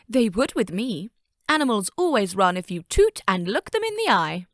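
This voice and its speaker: Woman doing a snooty easily flustered girl train for a kids story, very animated, dry close sound. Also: medium pitch, moderate pace, no room sound, natural voice. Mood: smug, boastful. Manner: theatrical, haughty. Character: vain, haughty, easily flustered female railcar engine. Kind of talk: character voice for a children's story fandub.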